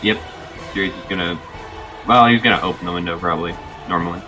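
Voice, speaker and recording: A man sits away from the mic, chatting casually as a game player. His voice is fairly low and bright, over faint music. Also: fast talker, distant mic.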